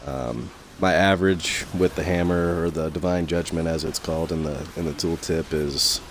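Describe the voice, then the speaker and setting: A young man commentating his gameplay in a casual, rambling way full of fillers, his voice a little raspy from talking so long. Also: very deep voice, steady tone, normal speed, slight background noise. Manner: rambling, many fillers and restarts.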